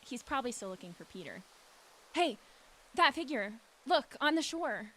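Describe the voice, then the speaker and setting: A woman's voice: high-pitched, sing-song, moderate pace, a bit bright, slight background noise, rough recording. A young woman playing a skeptical, protective teenage girl in an audio drama, blunt and wary. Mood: urgent.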